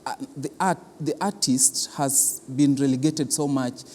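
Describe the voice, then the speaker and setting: A man with a Kenyan accent giving explanatory interview answers as an arts institution official, halting and deliberate, often repeating words for emphasis. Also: mid-range voice, a little mellow. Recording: long reverberant hall, clear background noise.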